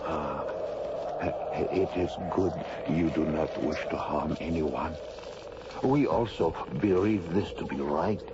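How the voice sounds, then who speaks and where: An actor playing a grave, gentle, dignified lord who speaks in a measured, formal way in a radio drama. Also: male voice, extremely low pitch, noisy background. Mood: gentle, hopeful. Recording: dull old radio drama recording.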